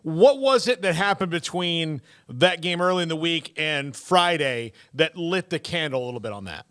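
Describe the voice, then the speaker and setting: A man co-hosts a sports radio interview with long, winding questions. His voice is bright and slightly high, recorded close and dry. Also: lively intonation, normal speed, clean tone, no room sound.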